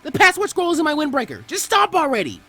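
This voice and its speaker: A man's voice: extremely high pitch, wildly swooping pitch, quick pace, bright, forceful tone, soft background music, pitched above the performer's own voice, heavily acted. A man voicing a cheeky, battered teenage ninja boy in a story, casual and wry. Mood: desperate.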